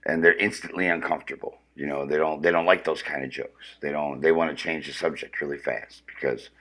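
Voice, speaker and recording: A man's voice: extremely low pitch, steady tone, fairly quick, fairly bright tone. A man with a powerful voice, ranting casually and profanely with lots of restarts during a comedic livestream. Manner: profane, rambling, restarts often.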